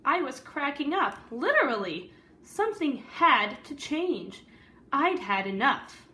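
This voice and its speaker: An earnest, weary good egg tells his storybook tale, as a woman reader puts him on. The voice is a little high and takes its time, with deliberate, dramatic phrasing over faint background noise. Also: very animated, sharp tone, full character voice.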